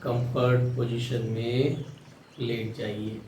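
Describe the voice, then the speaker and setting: A man with an Indian accent leading a guided meditation, giving calm, soothing instructions with long pauses. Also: medium pitch. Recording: roomy echo, distant mic, faint noise.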